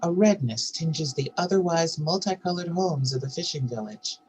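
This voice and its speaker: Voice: mid-range voice, expressive, normal speed, slight background noise, rough recording. A narrator reading out stage directions and scene headings in a scripted table read.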